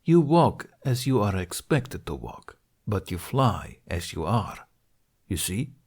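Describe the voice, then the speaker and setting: Man acting a stern, deliberate horseman mentor. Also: on the low side, big pitch swings, darkish tone, breathy edge, exaggerated voice. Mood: patient, assured. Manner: deliberate, clipped instructions in formal phrasing. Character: stern, assured foreign horseman mentor, blunt and commanding. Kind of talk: audiobook character acting.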